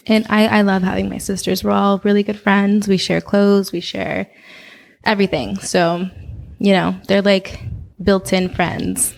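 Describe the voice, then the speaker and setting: A young woman from Oakland with a low voice, chatting casually as a podcast guest with lots of fillers. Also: unhurried, vocal fry. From Oakland, California. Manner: relaxed, chatty, with frequent fillers. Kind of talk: casual interview chat.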